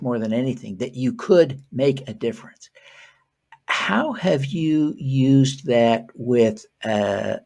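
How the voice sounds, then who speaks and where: A man's voice: medium pitch, darkish tone. Man hosting, hesitant questions. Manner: hesitant, many fillers as he frames his questions. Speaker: interview show host. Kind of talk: conversational interview.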